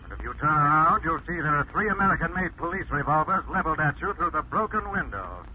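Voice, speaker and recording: A sinister invisible crime fighter in a 1940s radio thriller. His voice is fairly high, deliberate and mocking, on a dull, hissy broadcast. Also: very animated. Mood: mocking, commanding. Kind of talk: 1940s radio drama acting.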